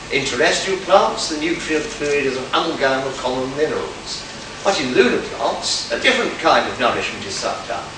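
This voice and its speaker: A man playing an arrogant, excitable genius botanist in a pulp science fiction radio play, lecturing in a pompous, condescending way. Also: on the high side, lightly acted. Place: live convention performance, recorded from afar. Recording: distant echoey room, clearly noisy.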